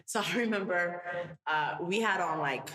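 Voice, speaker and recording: A woman's voice: on the low side, normal speed, a bit bright. Hesitant reflective woman in her thirties. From Ethiopia, raised in Harlem. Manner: reflective, hesitant, lots of fillers. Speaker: guest, school leader. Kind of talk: podcast interview. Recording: echoey room with clear background noise.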